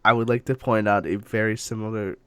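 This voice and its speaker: A man chatting casually as a podcast co-host, halting and often repeating himself. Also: fairly low pitch, steady tone, a bit bright, smooth, dry recording.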